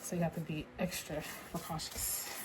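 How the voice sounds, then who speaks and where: A woman playing a rude, sarcastic airport security agent in a soft-spoken ASMR roleplay, muttering dryly and without hurry. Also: low-pitched, flat delivery, background noise, lightly acted. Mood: dry, methodical. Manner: dry, sarcastic, unhurried muttering.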